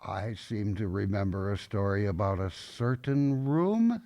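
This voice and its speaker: Man acting a low-voiced, gruff old grandfather. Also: lively intonation, mellow, no room sound. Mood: subdued, wistful. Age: older. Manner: folksy, halting. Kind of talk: audio drama acting.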